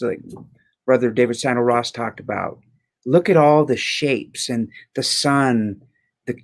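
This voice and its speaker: A man speaking as an inspirational guest in a reflective conversation, earnest and building his points through repeated phrases. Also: medium pitch.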